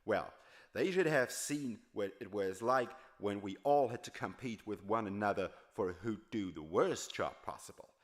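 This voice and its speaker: A man's voice: lowish voice, normal speed. Man narrating a novel in character. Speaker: audiobook narrator. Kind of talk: dramatic first-person novel reading.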